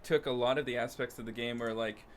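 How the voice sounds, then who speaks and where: A man's voice: medium pitch, fairly even intonation, fast. A man co-hosting a podcast, chatting casually and thinking aloud about games and films. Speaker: podcast co-host.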